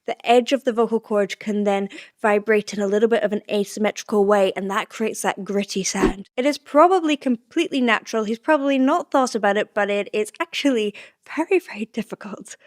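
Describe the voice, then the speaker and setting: A woman with a high voice, a vocal coach enthusiastically explaining singing technique while reacting to a song. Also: brisk, no echo, clean studio sound.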